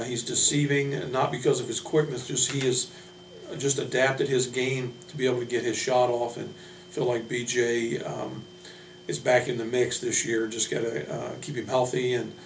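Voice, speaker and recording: A man speaking off the cuff as a college basketball coach, introducing his players in a halting, plain-spoken way. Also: medium pitch, vocal fry. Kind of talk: unscripted team roster introduction. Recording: roomy, distant mic, noisy hum.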